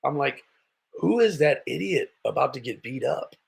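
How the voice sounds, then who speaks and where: A man's voice: medium pitch, lively intonation, moderate pace, gravelly, slightly rough. An American man hosting a casual livestream, giving blunt, mocking, sweary reaction commentary. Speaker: host of a livestream commentary show.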